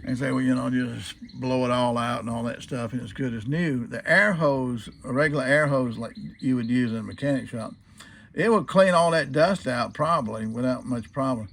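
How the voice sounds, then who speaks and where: A man with a medium-pitched voice explains a hands-on job as a hobbyist would. His delivery is casual and folksy, without a script. He circles back over his points and checks in with the viewer as he goes. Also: normal speed, gravelly, fairly bright tone, a little hiss or hum. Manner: folksy, asks and answers own questions. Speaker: hobbyist demonstrating old radio restoration. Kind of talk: casual hands-on demonstration, unscripted.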